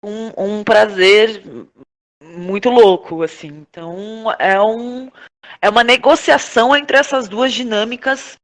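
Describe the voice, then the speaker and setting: A woman's voice: on the high side, a little slow. A Brazilian woman speaking as a guest in a reflective conversational interview, feeling for her words and repeating them for emphasis. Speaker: interview guest, playwright and director.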